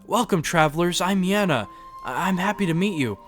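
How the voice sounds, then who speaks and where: Man voicing a serene, higher-pitched temple woman. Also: expressive, fairly quick, soft background music. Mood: serene, welcoming. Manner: smooth, gentle, formal. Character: serene, welcoming young woman of an ice temple.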